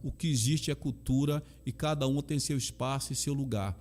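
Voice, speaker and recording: A man's voice: medium pitch, moderate pace, a little mellow. Bahian man, chaplain guest, emphatic and sincere, repeats phrases. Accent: Bahian Brazilian Portuguese. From Salvador, Bahia, Brazil. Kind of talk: earnest conversational testimony.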